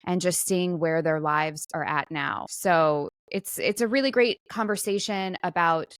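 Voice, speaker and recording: A woman's voice: fairly low pitch, measured pace, smooth, no echo, studio quality. A woman co-hosting a podcast in casual, conversational chat, with plenty of "like" and trailing asides. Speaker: podcast co-host; actor.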